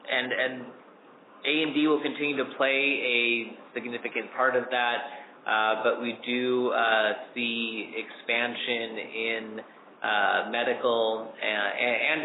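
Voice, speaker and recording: A man answering analysts as a company executive on an earnings call, speaking in a halting, measured way. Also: medium pitch, phone-quality sound. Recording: echoey, noisy phone conference line.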